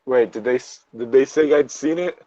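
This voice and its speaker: A man's voice: mid-range voice, moderate pace, warm tone, no room sound, dull audio. A man reading a story aloud casually, with profane, self-interrupting asides and banter as a co-host. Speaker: co-host reading and riffing on internet horror stories.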